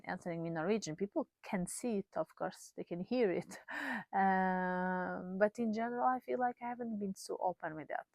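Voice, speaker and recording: A woman's voice: mid-range voice, creaky, a little mellow, dry recording. A Portuguese woman, a mother in her thirties, talking candidly in English in a personal vlog, hesitant with many fillers and restarts. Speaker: vlogger, mother.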